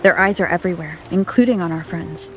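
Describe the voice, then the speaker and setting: A woman's voice: lowish voice, fast, slight background noise, lightly acted. A woman voicing a cool, resolute young adventurer in a video game, terse and matter-of-fact. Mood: serious, pensive.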